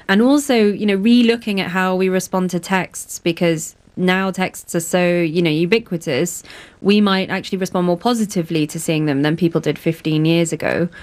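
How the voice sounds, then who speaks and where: Mid-pitched woman explaining conversationally. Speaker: journalist guest.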